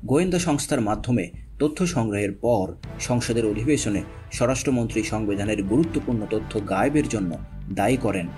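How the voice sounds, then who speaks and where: Guy doing scripted documentary voiceover, kinda low voice, music under it. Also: animated, fairly quick, darkish tone. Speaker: voice-over narrator. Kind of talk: scripted documentary-style narration. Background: background music.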